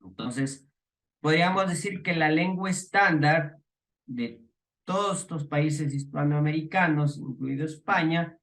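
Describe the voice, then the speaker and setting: A middle-aged man with an Ecuadorian highland accent lectures a class. His voice sits somewhat high for a man. He explains patiently, restarting phrases, filling pauses and posing rhetorical questions to his students. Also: a bit bright. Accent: Ecuadorian highland Spanish. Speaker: teacher.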